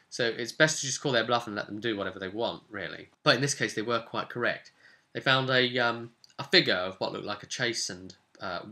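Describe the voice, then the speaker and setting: A man with a fairly low voice and a bright, punchy edge. He talks as a game master recounting a session, casual and animated. He addresses the listener directly as he explains. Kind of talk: casual storytelling to camera.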